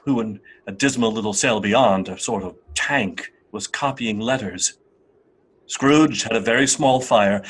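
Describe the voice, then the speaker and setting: Radio play narrator, male, mid-pitched and very animated, husky, dramatic and wry storybook delivery. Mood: hushed, atmospheric. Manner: theatrical, emphatic, wry.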